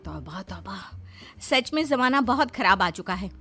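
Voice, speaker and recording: A woman's voice: mid-range voice, expressive, measured pace, sharp tone, voice pushed down. A woman voicing a judgmental, nosy housewife in a cartoon story, exclaiming with disapproval. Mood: scandalised. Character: judgmental, gossipy housewife, nosy and easily scandalised. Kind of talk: Hindi cartoon story dubbing. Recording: music behind the voice.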